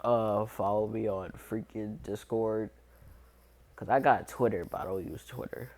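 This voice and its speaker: Young man, sick and hoarse, slow-paced, loose crude slang, vlog ramble. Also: on the low side, steady tone, darkish tone. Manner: rambling, crude slang, laughs and coughs often. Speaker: YouTube voice creator. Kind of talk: casual vlog chat.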